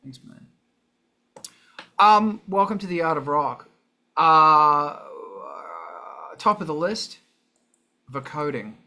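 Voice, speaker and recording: A man's voice: fairly high pitch, expressive, takes it slowly, long pauses, bright, forceful tone. A man hosting a casual livestream in a sweary, jokey, rambling manner. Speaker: show host.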